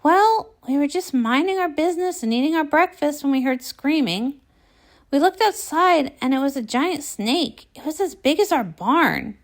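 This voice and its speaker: A woman's voice: high-pitched, lively intonation, moderate pace. A woman voicing a folksy, plain-spoken country villager pony in a storybook, a little wary. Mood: plain, earnest.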